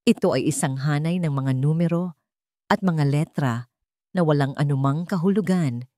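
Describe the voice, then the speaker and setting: A woman's voice: low-pitched, moderate pace, mellow, dry recording, studio quality. A woman with a Filipino accent narrating a scripted dramatic story.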